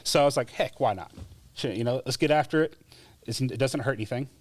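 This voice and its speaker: A man, a former EMT, telling his life story in a relaxed, chatty way as a podcast guest, with frequent fillers and laughs. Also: mid-range voice, quick pace, no echo. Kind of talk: casual interview storytelling.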